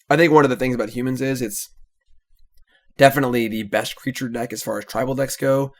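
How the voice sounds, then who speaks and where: A man casually commentating his own card game, analytical and relaxed, often trailing off with "so". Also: medium pitch, vocal fry.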